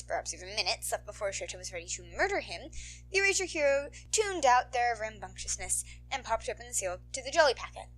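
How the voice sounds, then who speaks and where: High, bright-voiced woman narrating fiction. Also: low-quality recording. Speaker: podfic narrator. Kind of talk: expressive fan fiction audiobook narration.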